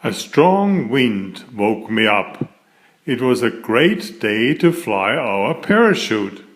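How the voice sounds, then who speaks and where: A man reads a children's picture book aloud at an unhurried pace. His delivery is expressive and deliberate, with pitch that moves widely around a middle register. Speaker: author reading aloud.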